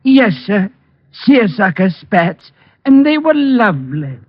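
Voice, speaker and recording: Fussy butler, high and husky, dull old radio sound. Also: male voice, big pitch swings, slow pace, slight background noise, exaggerated voice. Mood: fussy, polite. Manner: polite and fluttery, keeps saying sir. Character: fussy, eager male butler. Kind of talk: 1950s radio drama acting. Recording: dull 1950s radio broadcast recording.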